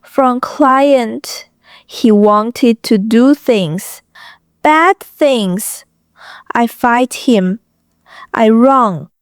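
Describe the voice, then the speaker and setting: An actress playing a frightened, guarded young woman with a thick foreign accent, speaking halting broken English in an audio drama. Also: a little high, clean tone, dry recording, clean studio sound. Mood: resigned, distressed. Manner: halting, broken English in short clipped phrases.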